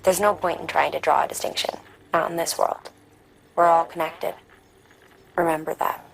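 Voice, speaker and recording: A serious, gentle scientist. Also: female voice, lowish voice, little pitch movement, normal speed, gravelly, slight background noise, poor audio. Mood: earnest. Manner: earnest and reflective. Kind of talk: video game cutscene dialogue.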